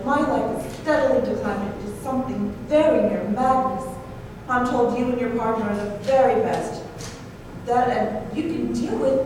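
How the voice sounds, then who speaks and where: A woman playing a troubled, sheltered, rich young wife in an old-time radio drama, prim and halting with melodramatic touches. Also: fairly high pitch, natural voice. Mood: troubled, insistent. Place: live stage performance in an echoey room. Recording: echoey hall, distant mic, clear noise.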